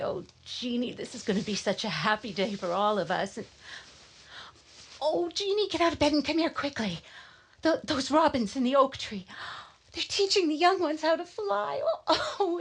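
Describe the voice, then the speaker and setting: A woman's voice: high-pitched, creaky, exaggerated voice. A woman playing a cheerful middle-aged mother in radio drama, fondly coaxing and chiding. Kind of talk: live radio horror drama acting.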